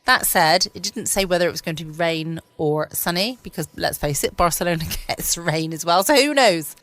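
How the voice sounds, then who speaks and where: Low-voiced woman chatting. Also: normal speed, a bit bright, no room sound. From United Kingdom. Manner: rambling, musing to herself. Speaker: podcast host. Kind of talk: casual bedtime chat. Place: quiet room at home.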